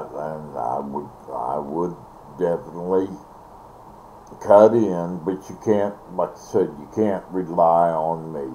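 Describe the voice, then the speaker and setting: An older man with an American accent chatting casually to viewers, halting and teasing, at a computer in a bedroom. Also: very deep voice, dark tone. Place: small bedroom, indoors. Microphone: computer mic at close range. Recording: faintly noisy home computer recording.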